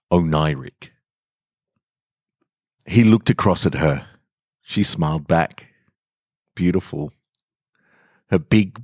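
A man narrating short fiction in a dramatic, measured and deliberate way with weighty pauses. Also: low voice, dry recording, clean studio sound. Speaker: storyteller reading short fiction on a podcast.

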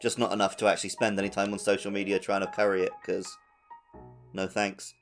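A man's voice: low-pitched, monotone, fairly quick, gravelly, faint music behind. A man co-hosting a gaming podcast, chatting casually in a playful, jokey, self-deprecating way. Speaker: podcast co-host.

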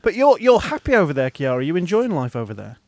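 A man's voice: a little high, sing-song, fast. A British man co-hosting a podcast, telling jokey, teasing stories in casual banter. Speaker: podcast co-host, radio presenter.

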